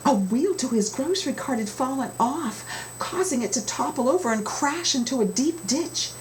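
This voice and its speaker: A woman reading a children's storybook aloud with expressive, dramatic emphasis, as an author telling her own tale. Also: high-pitched, moderate pace, a little hiss or hum.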